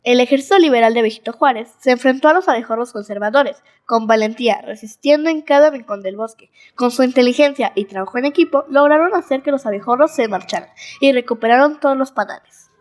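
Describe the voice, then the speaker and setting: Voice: mid-range voice, fast. A schoolchild with a Mexican accent reading scripted narration in a school audio drama. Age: child.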